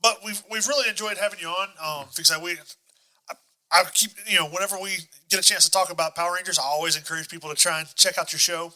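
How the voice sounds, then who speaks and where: Fast, high-pitched man hosting a podcast. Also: steady tone, sharp tone, rough recording. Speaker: podcast host. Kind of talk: casual chat.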